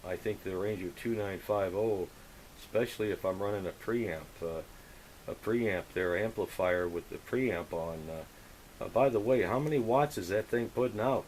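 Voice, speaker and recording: Guy with a lowish voice doing ham radio chat, gushing, lots of jargon, bit of hiss. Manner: effusive, drawn-out radio jargon. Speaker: amateur radio operator. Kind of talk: casual radio contact chat.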